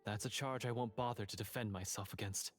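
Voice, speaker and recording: A man's voice: on the low side, fairly even intonation, a little fast, close to an everyday voice. An actor playing an earnest, guilt-ridden young male hunter in a video game, with a dramatic, hesitant, self-reproachful delivery. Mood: resolved, earnest. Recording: clean voice over background music. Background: instrumental game music.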